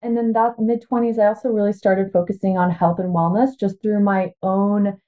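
A woman with an American accent, chatting informally and excitedly as a podcast guest telling her own story. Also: mid-range voice, normal speed. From Washington State, United States. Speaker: podcast guest, life coach. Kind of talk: casual chat, telling personal story. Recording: thin phone-like call audio.

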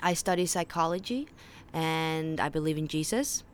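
A woman's voice: sits low, normal speed, slight background noise. A young woman with a Faroese accent, a native Faroese speaker, hosting a podcast in a casual, earnest monologue full of small restarts. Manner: earnest and conversational, with frequent restarts. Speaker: podcast host. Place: outdoor home balcony. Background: birds and distant ships.

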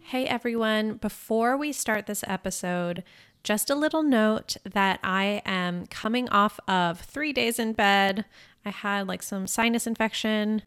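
A woman's voice: mid-range voice, measured pace, fairly bright tone, smooth, faint music behind. A young adult woman hosting a money podcast as a financial coach, talking in a casual, upbeat coaching tone with a congested, slightly groggy voice and frequent "right?" check-ins. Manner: upbeat, conversational, often asks "right?".

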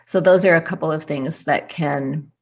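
Woman with a deep voice, unhurried coaching tone, reassuring and thoughtful. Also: gravelly, husky. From US desert region. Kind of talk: casual advice conversation.

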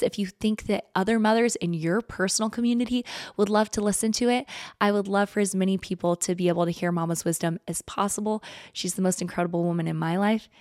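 A young adult woman hosting an interview, chatty and enthusiastic, her voice a little croaky. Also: mid-range voice, darkish tone, no room sound, studio quality. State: slightly croaky voice. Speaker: host. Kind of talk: casual interview and promo. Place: home studio. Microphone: large studio microphone.

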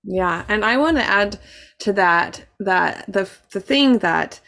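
A woman's voice: mid-range voice, expressive, slow pace, creaky, a bit bright. A woman hosting a podcast interview, chatting casually and often giving short agreements. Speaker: podcast host, dance business coach.

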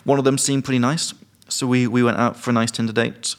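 A young British man chats casually on a podcast. He is easygoing and keeps explaining English expressions with handy example sentences. His voice sits at an average pitch. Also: level tone, a little fast. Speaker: podcast co-host. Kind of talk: casual chat.

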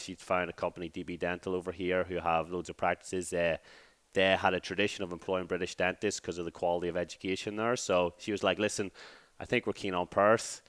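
Irish guy in his 30s, real deep smooth voice, dry close sound, chatty with lots of ums. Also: no room sound. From Ireland. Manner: relaxed storytelling, many "um"s and "like"s. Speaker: fitness coach, podcast guest. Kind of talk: casual interview chat.

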